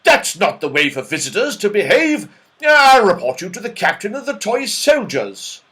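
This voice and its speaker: An indignant little wobbly toy man, put on by a man for a children's storybook. The voice sits high and sounds huffy and outraged. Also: very animated, normal speed, sharp tone, heavily acted. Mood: enraged.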